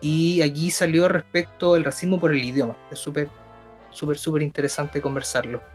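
A Chilean man with a slightly high voice co-hosts a casual talk show. He thinks aloud, hesitating as he goes, with light music playing behind him. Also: little pitch movement, moderate pace. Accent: Chilean Spanish. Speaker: radio show co-host. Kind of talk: casual discussion. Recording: music bed under the voice. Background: background music.

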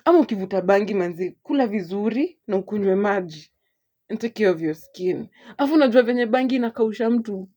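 A Kenyan woman co-hosting a podcast in casual, lively chat, laughing often as she talks. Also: medium pitch, quick pace. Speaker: podcast co-host. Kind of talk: casual chat, code-switching.

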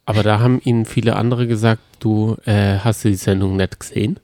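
A man's voice: on the low side, steady tone, brisk, a little mellow, dry recording, studio quality. A man chatting casually as a podcast co-host in a colloquial, teasing way. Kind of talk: casual chat about reality TV.

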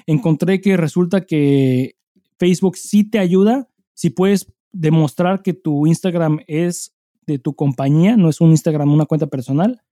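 A Mexican man talks as a podcast host in a very soft-edged, dark timbre, pitched a bit high for a man. He speaks in casual, conversational stretches full of slang and curse words. The sound is close and dry. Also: dry recording. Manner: informal, heavy slang and swearing.

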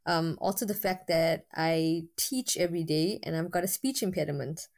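Woman lecturer, low and candid. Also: normal speed. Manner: candid, with frequent fillers. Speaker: university lecturer with a PhD. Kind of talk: interview guest.

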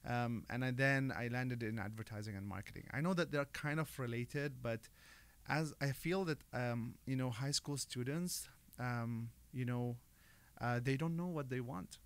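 Guy, gravelly fry in his voice, telling stories laid-back with lots of uhs and ums. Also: medium pitch, moderate pace, dry recording. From Lebanon. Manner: relaxed storytelling, many fillers and restarts. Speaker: interview guest. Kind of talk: casual interview chat.

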